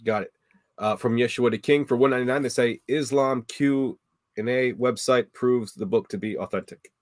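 A man hosts a livestreamed debate and reads viewer questions aloud, brisk and flat. His mid-pitched voice sits in a dry, close-sounding recording. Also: no room sound. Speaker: debate moderator.

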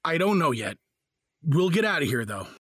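An actor playing a bewildered, indignant husband, agitated and flustered, in an audio drama. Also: male voice, a little high, very animated, moderate pace, fairly bright tone, slightly rough, dry recording, studio quality, exaggerated voice. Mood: indignant, worried. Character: Colin, bewildered, indignant husband under arrest.

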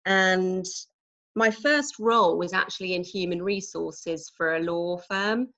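A woman in her forties from Norwich, England, with an English accent, chatting as a podcast guest about her work in a relaxed, explaining way with frequent ums. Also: fairly low pitch, measured pace, muffled recording. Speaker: recruitment manager, guest. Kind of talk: conversational podcast interview.

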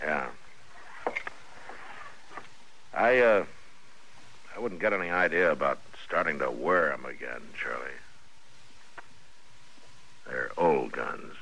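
An actor playing a stern, laconic, protective frontier marshal in a 1950s radio western drama. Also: male voice, rumbling low, measured pace, creaky, pauses often, pushed and bright, full character voice. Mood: firm, gentle. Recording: noisy, crackly old radio recording.

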